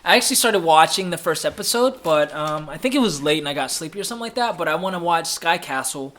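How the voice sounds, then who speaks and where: Livestream host, man, 30s, upbeat and chatty, fairly high bright voice. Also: expressive, quick pace, slight background noise. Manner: upbeat, chatty, reads comments aloud and repeats phrases. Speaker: livestream host answering viewer questions. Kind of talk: casual live Q&A chat.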